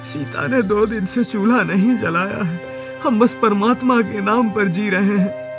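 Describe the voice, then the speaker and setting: A man playing an honest, starving villager in a Hindi moral cartoon, speaking in a meek, halting, pleading manner. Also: sits high, over music. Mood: pleading, weak.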